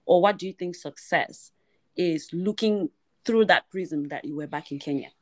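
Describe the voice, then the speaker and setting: A woman's voice: fairly low pitch, moderate pace. A woman co-hosting a podcast, chatty and laughing often as she talks straight to the listeners. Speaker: podcast co-host. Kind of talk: casual podcast chat.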